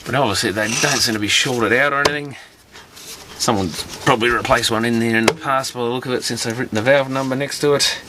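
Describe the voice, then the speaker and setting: A man's voice: mid-range voice, quick pace. A man giving casual hands-on repair commentary, muttering and thinking aloud as he works. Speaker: electronics repair hobbyist presenting a teardown. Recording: clear background noise with clicks.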